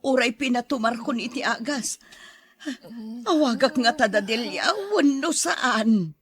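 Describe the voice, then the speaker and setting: A woman's voice: on the high side, expressive, measured pace. A woman with a Filipino accent playing a brusque older auntie minding a child in a radio drama. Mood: worried.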